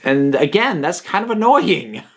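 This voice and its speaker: A man hosting a casual video review, talking to viewers in a halting, thinking-aloud way with emphatic pauses. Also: fairly high pitch, big pitch swings. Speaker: video reviewer and channel host.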